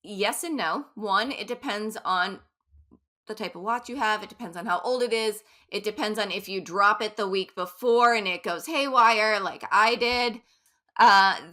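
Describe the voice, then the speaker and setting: A woman coach chatting in an upbeat, easygoing way. Her voice is bright and forward, with quick asides. She sounds like she is talking through her race experiences with a friend. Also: medium pitch. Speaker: running coach. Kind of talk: casual interview chat.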